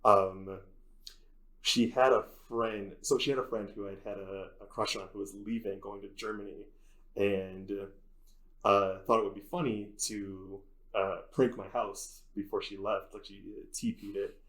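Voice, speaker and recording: A man's voice: fairly low pitch, little pitch movement, a little slow, a bit bright, low-quality recording. A man, a faculty advisor, casually telling a rambling personal story as an interview guest, acting out bits of conversation.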